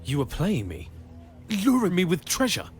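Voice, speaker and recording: A timid chronicler in an audio drama. He is hesitant and apologetic, stammering with animated pitch over faint music. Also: moderate pace. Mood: wounded, apologetic.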